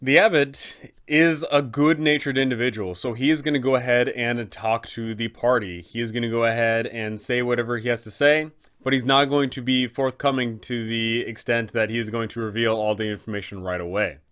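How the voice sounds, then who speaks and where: A man's voice: medium pitch, fairly even intonation, brisk, fairly bright tone, smooth. A man hosting a casual explanatory guide for tabletop game masters, talking in a conversational way. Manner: conversational, rhetorical questions. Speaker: host, game master giving advice.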